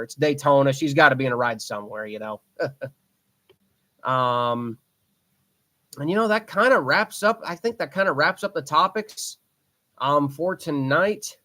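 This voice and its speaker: A man's voice: fairly high pitch, fairly bright tone. Rambling young man hosting a podcast. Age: 20s. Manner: rambling, frequent fillers and restarts; enthusiastic fan talk. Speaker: podcast host. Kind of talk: casual solo podcast chat.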